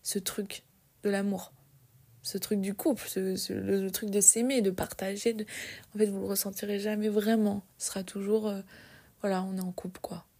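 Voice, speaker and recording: A young woman in her twenties talks in a husky, gravelly voice, chatty and confiding, with plenty of fillers and restarts. Her pitch stays flat and her tone sounds dark and mellow. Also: moderate pace. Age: 20s. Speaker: podcast host. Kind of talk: casual personal monologue.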